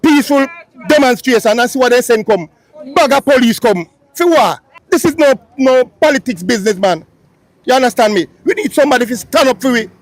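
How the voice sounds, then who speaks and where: Impassioned older Jamaican man, high voice. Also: animated, a little fast, no room sound, rough recording. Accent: Jamaican Patois. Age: 60s. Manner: impassioned, emphatic. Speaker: resident.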